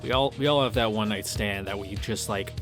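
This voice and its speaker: A man's voice: on the low side, a little fast. A man casually reading a visual novel aloud, with hesitant, wry asides. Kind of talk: casual read-through with commentary. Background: game music, cicadas outside.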